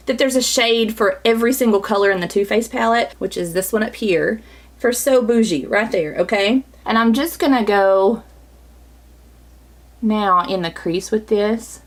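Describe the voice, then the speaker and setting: A chatty woman talks through a makeup tutorial in a small home studio. Her voice sits a bit high and sounds scratchy from a lingering cold, and she keeps a bubbly, confiding tone close to the camera mic. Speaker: beauty vlogger.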